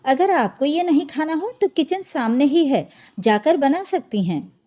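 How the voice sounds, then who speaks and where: Woman narrator as younger sister-in-law, outspoken, caring, mellow high voice, audio story. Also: animated, a little slow, muffled recording. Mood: defiant.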